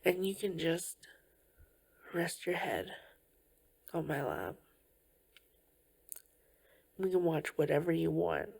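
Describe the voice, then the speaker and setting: Soft, breathy, slow-talking caring girlfriend played by a woman. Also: low voice, very animated, exaggerated voice. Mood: soft, affectionate. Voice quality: breathy, often slipping into whispers. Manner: gentle, slow, reassuring, with soft pauses. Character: tender, caring girlfriend comforting a tired partner. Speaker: ASMR roleplay performer. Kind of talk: soft-spoken ASMR comfort roleplay.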